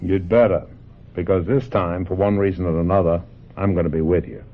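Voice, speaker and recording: An actor plays a cynical, hard-nosed American talent agent in a radio drama. His voice is deep, with a brusque and bullying slangy delivery. The recording sounds a little dull. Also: steady tone, brisk, close to an everyday voice. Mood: pressuring, tough.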